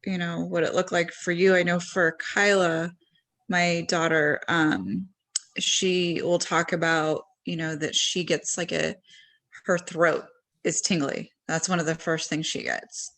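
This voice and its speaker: A woman's voice: lowish voice, unhurried, a bit bright. A woman talking on a discussion panel as a parent, casual and conversational, with many ums and a voice that trails off at the ends of thoughts.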